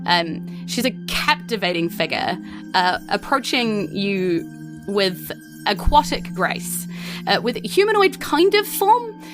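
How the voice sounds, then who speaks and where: A woman's voice: mid-range voice, very animated, slow pace, rough recording. A woman, narrating a tabletop game descriptively as game master. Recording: clear music bed behind the voice. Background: background music.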